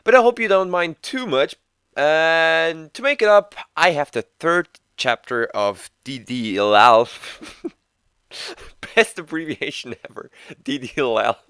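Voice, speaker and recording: A man with a cold, hoarse and stuffy-nosed, reading a visual novel aloud and breaking off into chuckling, self-mocking asides. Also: fairly high pitch, a bit bright, no room sound, clean studio sound. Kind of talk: reading a game's text aloud with joking asides.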